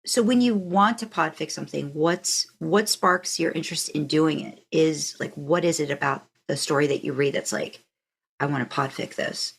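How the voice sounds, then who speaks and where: A woman's voice: sits low, normal speed, vocal fry, breathy edge. A woman interviewing a guest on a podcast in a casual, curious and encouraging way.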